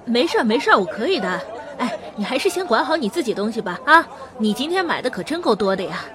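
A woman's voice: on the high side, big pitch swings, fast, crowd noise. An actress playing a bubbly, polite female friend, chirpy and effusive, in an audio drama with bustle behind her. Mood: cheerful, grateful. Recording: busy, noisy background ambience.

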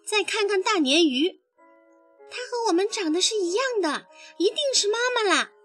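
A woman voicing a swarm of excitable little baby tadpoles in an eager, sing-song way for a children's story. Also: very high voice, slow, faint music behind, pitched above the performer's own voice, heavily acted. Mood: hopeful.

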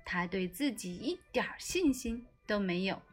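A woman narrating a children's storybook in an expressive storytelling style. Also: mid-range voice, normal speed, faint music behind.

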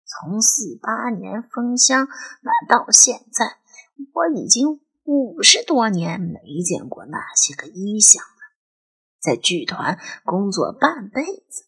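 Lady doing an old granny for an audio drama, raspy, wistful n superstitious, voice swings up and down. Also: a little high, bright, forceful tone, exaggerated voice. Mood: slightly aggrieved. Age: older. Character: elderly grandmother, former opera dresser, wistful and superstitious. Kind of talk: Chinese audio drama dialogue.

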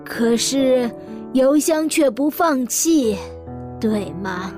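A woman's voice: high-pitched, animated, very slow, over music, exaggerated voice. A woman acting a composed, guarded married lady who hides her anxiety, in an audio drama. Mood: soft, composed. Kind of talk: Mandarin audio drama dialogue and inner monologue.

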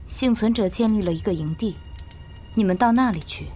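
A woman's voice: medium pitch, fairly quick, lightly acted. A woman playing a cool, guarded lone survivor in an audio drama, speaking in a terse, detached, authoritative way. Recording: loud music under the voice.

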